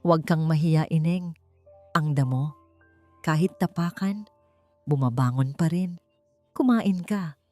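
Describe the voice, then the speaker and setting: A woman voicing a kindly, motherly older neighbor woman who speaks gently and soothingly in an audiobook drama. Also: low voice, measured pace, pauses often, soft background music. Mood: gentle, comforting.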